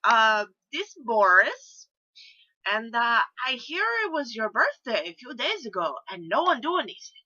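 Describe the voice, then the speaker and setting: A woman voicing a blunt, indignant Russian man in a comic prank-call style, loud, emphatic and halting. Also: fairly high pitch, very animated, heavily acted. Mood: deadpan indignant. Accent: Russian, put on. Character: Boris, blunt indignant Russian caller giving birthday wishes. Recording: dull, lo-fi sound.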